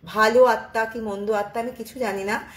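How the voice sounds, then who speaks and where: A woman's voice: fairly high pitch, fairly even intonation, moderate pace, roomy sound, natural voice. A woman quoting an earnest young man. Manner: earnest, reassuring. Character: young male resort worker pleading with a spirit.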